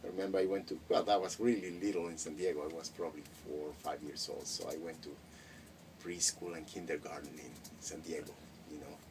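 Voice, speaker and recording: A middle-aged Mexican-born man whose first language is Spanish, recalling his schooling in a relaxed interview with frequent hesitations and restarts. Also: rumbling low, brisk. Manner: reflective, many hesitations and restarts. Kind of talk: casual interview. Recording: distant mic with faint noise.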